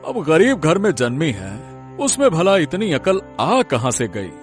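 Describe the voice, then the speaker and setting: A man's voice: on the high side, huge pitch swings, measured pace, soft background music. A man voicing a proud, domineering middle-aged landowner in a cartoon village story, pompous and easily offended. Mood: puzzled.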